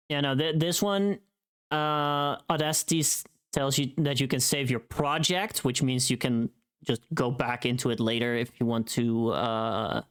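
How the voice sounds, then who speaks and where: A woman livestreamer chatting casually as she works, in a halting way, murmuring to herself between remarks. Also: very deep voice, clean tone. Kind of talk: casual chat while working on a livestream.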